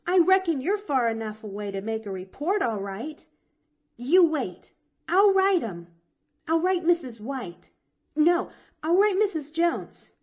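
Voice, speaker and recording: Woman voicing a cheerful, talkative little girl. Lively, bright-toned pitch, unhurried, folksy drawl. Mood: eager. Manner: eager, chattering, folksy drawl. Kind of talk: audiobook reading.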